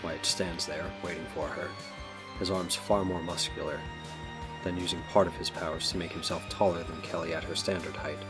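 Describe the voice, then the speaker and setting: A man with a very low voice narrates a superhero audio drama. He reads scripted action scenes over faint music. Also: level tone, moderate pace.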